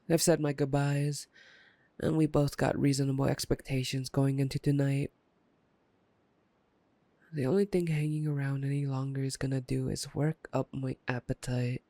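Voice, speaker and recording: Man playing a gravelly, teasing predatory vampire. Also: medium pitch, no echo, lightly acted. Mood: resigned, taunting. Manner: teasing, drawling, softly menacing. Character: taunting, playful, predatory male vampire. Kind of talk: intimate ASMR roleplay monologue.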